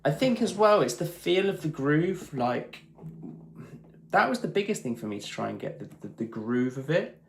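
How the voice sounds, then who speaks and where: A man's voice: on the high side, big pitch swings, moderate pace, creaky, a little hiss or hum. A British man teaching guitar casually on a live stream, thinking aloud in short, informal bursts. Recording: roomy echo with guitar playing.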